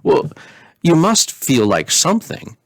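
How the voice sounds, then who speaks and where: A man's voice: fairly high pitch, wildly swooping pitch, measured pace, vocal fry, dry recording, studio quality, pitched above the performer's own voice, full character voice. A man voicing a fond, worried father king in a storybook, earnest and coaxing.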